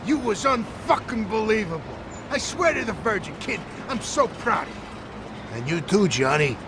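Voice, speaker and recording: A man playing a fatherly, foul-mouthed mafia boss with a gravelly voice in a crime game. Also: high-pitched, very animated, normal speed, noisy background. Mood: proud, moved.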